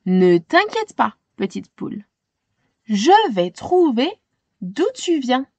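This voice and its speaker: A woman voicing an earnest, excitable little girl in a storybook, with emphatic, pleading delivery. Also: a little high, slow pace, slightly rough, dry recording, highs cut off, exaggerated voice. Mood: gentle, hopeful.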